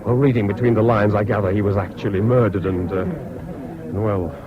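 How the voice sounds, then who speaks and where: An actor playing an earnest, credulous English university student in a radio drama, confiding in a hesitant, gushing way. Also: male voice, lowish voice, steady tone, brisk, natural voice. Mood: hushed, confiding. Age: young adult. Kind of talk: 1970s British radio drama acting. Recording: muffled old radio broadcast, chattering crowd.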